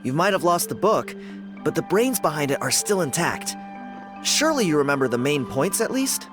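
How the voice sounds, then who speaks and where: A casual young man who teases his friend in an easy, lively voice. Clear game music plays behind him. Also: a little high, normal speed. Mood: teasing. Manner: casual, teasing.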